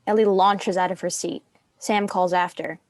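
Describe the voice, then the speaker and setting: A woman's voice: lowish voice, fairly even intonation, normal speed, no room sound. A woman reading stage directions as scripted narration for a dramatic script reading.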